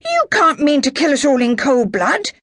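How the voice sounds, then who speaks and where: A narrator voicing a young Englishwoman who protests in a high, sharp voice. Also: huge pitch swings, normal speed, dry recording, clean studio sound, heavily acted. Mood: indignant.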